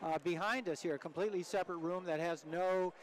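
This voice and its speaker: Older American male co-commentator, high-pitched voice, conversational live sports radio delivery. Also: steady tone, fast, a little hiss or hum, low-quality recording. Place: indoor sports arena.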